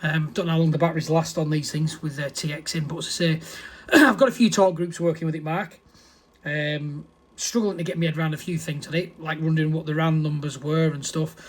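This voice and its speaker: A man's voice: on the high side, steady tone, brisk. A man talking casually as an amateur radio operator, matter-of-fact and rambling, often correcting himself.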